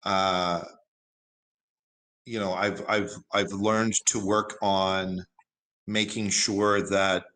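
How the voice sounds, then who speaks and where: A man in his forties with a big, carrying voice, a former broadcaster, talking thoughtfully in a casual interview with many pauses and restarts. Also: low voice, little pitch movement, bright, forceful tone, low-quality recording. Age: 40s. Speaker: guest.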